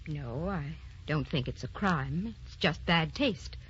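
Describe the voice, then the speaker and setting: An actress playing a prim, envious middle-aged sister, blunt and sharp-tongued, in an old radio drama. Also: female voice, low-pitched, lively intonation, takes it slowly, slightly rough, heavily acted. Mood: cold disapproval. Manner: tart, blunt, disapproving. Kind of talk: 1940s radio drama acting. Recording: dull vintage radio recording.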